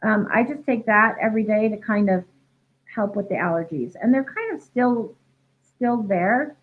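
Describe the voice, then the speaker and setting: A woman's voice: mid-range voice, moderate pace. A young woman, a dog trainer, answering viewer questions in a casual live chat, congested and losing her voice from a head cold. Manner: chatty, many ums and restarts, reads questions aloud. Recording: tinny phone-like livestream audio.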